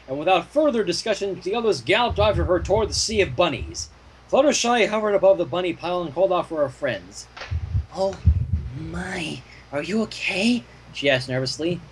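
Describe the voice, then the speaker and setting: A man reading fan fiction aloud as an expressive narrator, with casual asides. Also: high-pitched, breathy edge, rough recording.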